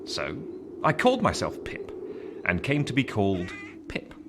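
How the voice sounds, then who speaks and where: Lively, low-voiced English storyteller. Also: male voice, a little slow, full character voice. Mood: reflective, dramatic. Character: grown man recounting his childhood, reflective storyteller. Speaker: narrator. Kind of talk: dramatic literary narration. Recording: faint background noise.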